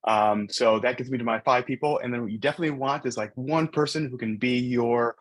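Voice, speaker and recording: A man's voice: medium pitch, a little fast. Enthusiastic man chatting on a video call. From based in San Diego. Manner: enthusiastic, loose with many fillers. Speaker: iOS developer and event facilitator. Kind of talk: casual online panel talk.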